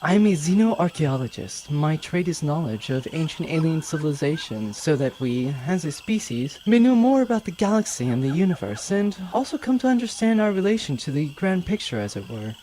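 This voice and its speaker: A woman's voice: low-pitched, very animated, brisk, few pauses, dark tone, a little hiss or hum, low-quality recording. A woman playing a poised, earnest xenoarchaeologist, polite and formal, in a sci-fi audio drama.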